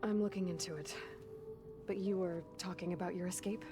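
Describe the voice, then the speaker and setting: Woman acting steady young game heroine, music behind. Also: on the low side, lightly acted. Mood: probing. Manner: direct, measured questioning. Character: steady, probing young heroine, blunt but caring. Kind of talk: video game dialogue acting.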